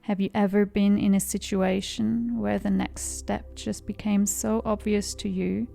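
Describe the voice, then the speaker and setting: Slow, creaky-voiced woman guiding meditation. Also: medium pitch. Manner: calm, soothing, measured delivery. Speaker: meditation guide. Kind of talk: guided meditation narration. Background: background music.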